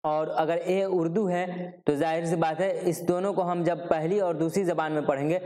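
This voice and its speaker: A man's voice: fairly high pitch, steady tone, normal speed, dark tone, in a large hall. A male teacher from Bihar, giving an exam-prep lecture and answering his own questions. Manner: repetitive, asks questions and answers them himself.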